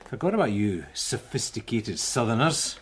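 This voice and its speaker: Easy-going police sergeant, animated, chatty and joking, radio drama. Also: male voice, fairly low pitch, moderate pace, husky. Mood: bantering. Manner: chummy, joking, rambling. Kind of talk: naturalistic British radio drama acting.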